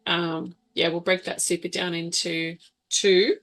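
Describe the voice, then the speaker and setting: Bright, lower-pitched woman at a game table. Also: little pitch movement, unhurried. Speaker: player in a tabletop roleplaying game. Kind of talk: casual game-table chat.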